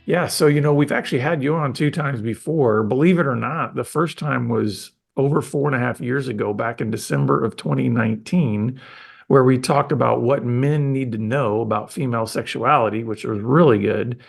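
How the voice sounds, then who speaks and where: A man's voice: medium pitch, normal speed, vocal fry, husky. A man hosting a podcast, chatting with his guest in an enthusiastic, rambling way with frequent restarts. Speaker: podcast host. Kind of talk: casual interview chat.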